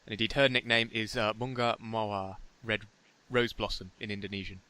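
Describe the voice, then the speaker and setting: A man's voice: lowish voice, steady tone, a little fast, pushed and bright, clean tone. A British man co-hosting a podcast, laid-back and agreeable, often answering in short replies. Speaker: podcast co-host. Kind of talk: casual anime discussion.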